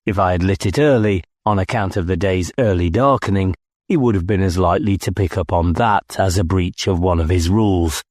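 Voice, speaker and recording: A man's voice: deep voice, fairly quick, a little mellow, dry recording, clean studio sound. A man reading scripted first-person story narration as an audiobook narrator.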